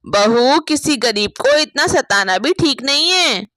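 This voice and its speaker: Elderly mother-in-law acted by a woman, patient and reproachful, pitched down from the narration, dry storybook recording. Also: lively intonation, a little slow, fairly bright tone, no echo. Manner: chiding, pleading.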